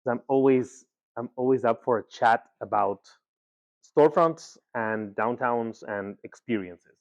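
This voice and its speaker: A man from Ecuador giving a conversational presentation as a guest speaker, often pausing with filler words and repeating himself. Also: mid-range voice. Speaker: guest speaker, agency founder. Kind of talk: presentation talk. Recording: slightly dull, lo-fi sound.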